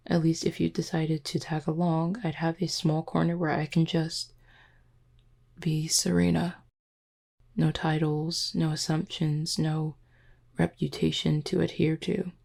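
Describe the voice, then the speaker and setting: Woman voicing a husky, low, flirty werewolf woman. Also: little pitch movement, darkish tone, exaggerated voice. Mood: earnest, composed. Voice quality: low, husky, breathy with some creak. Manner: teasing, measured, deliberate wording. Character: flirty, composed female werewolf pack second-in-command. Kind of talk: roleplay audio drama, intimate one-sided dialogue.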